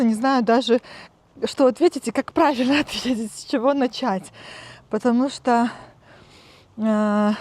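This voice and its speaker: A woman's voice: fairly high pitch, darkish tone. A woman in her thirties from Transnistria, chatting casually to camera and explaining things step by step with plenty of fillers. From Transnistria, Moldova. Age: 30s. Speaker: vlogger. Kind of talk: casual vlog talk to camera. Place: outdoors and at home, walking.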